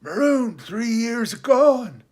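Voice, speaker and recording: A man voicing a half-crazed, sly and childish marooned sailor in an adventure storybook, hoarse, excitable and rambling in rustic seafaring dialect. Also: very high-pitched, slow, voice pushed up, full character voice. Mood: wistful, eager. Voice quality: hoarse, awkward.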